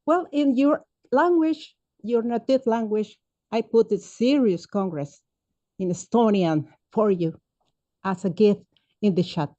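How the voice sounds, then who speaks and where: A woman speaking up in an online meeting, warm and a little halting. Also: on the high side, muffled recording. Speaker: meeting participant. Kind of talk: spoken remarks in a meeting. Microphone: video call.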